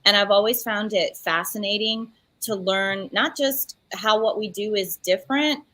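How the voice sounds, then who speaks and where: Woman, 40s, clinical educator, bright tone, dry close sound, lively. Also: medium pitch, normal speed, no echo. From Central Florida. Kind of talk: panel discussion.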